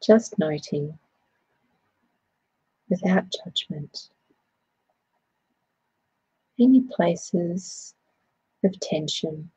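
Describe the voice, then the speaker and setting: A woman leading a guided body scan meditation, speaking in a soothing, gentle way with unhurried phrasing. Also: on the low side, animated, slightly rough, poor audio.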